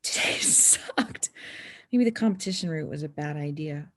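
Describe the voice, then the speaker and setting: A woman's voice: sits low, moderate pace. A woman playing a stubborn teenage girl snowboarder in a screenplay table read, with a dry, sarcastic manner. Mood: dejected.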